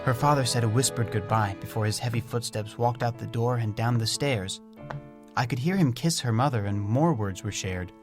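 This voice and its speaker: A man voicing a gentle, naive, curious young pet creature, narrating an audio storybook in simple, thoughtful phrases with soft wonder. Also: medium pitch, normal speed, close to an everyday voice. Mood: tender. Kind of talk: first-person storybook audio drama narration. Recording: music under the voice. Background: music.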